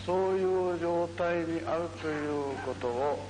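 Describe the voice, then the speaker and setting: A man gives formal testimony in halting phrases in a courtroom, on an old 1940s recording. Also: fairly high pitch, big-room sound, background noise. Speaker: witness testifying.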